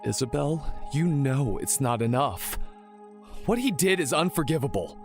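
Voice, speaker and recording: A man's voice: medium pitch, very animated, a little slow, darkish tone, faint music behind. A man playing a driven, vengeful vigilante leader and protective brother in an audio crime drama. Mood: vengeful resolve.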